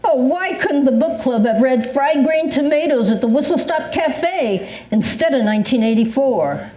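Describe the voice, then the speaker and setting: A woman's voice: high-pitched, animated, moderate pace, warm tone. An older woman in an amateur staged reading, playing a dramatic senior convinced of conspiracies, with a theatrical, emphatic delivery. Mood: wistful, wry. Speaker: amateur readers theatre performer. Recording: echoey room recording.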